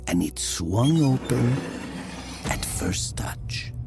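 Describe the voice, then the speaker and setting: Slow, gravelly, haunted killer confessing. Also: male voice, medium pitch, expressive, heavily acted. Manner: brooding, deliberate, hushed at times. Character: haunted, guilt-ridden Italian male killer confessing his crime. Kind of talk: dramatic first-person literary narration. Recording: music behind the voice. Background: music.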